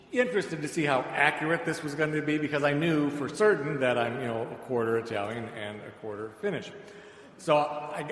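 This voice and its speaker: Male American preacher, middle-aged, conversational and wry, mid-pitched, recorded in a reverberant hall. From suburbs of Chicago, United States. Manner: conversational, dryly humorous. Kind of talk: sermon with personal anecdotes. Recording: echoey hall, long reverb, background noise.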